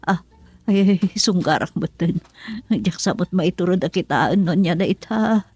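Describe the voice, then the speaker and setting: A woman's voice: on the low side, normal speed, a little mellow, close to an everyday voice. A woman with an Ilocano accent playing a thrifty, business-minded middle-aged mother in a radio comedy drama, chatty and given to musing aloud. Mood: flustered.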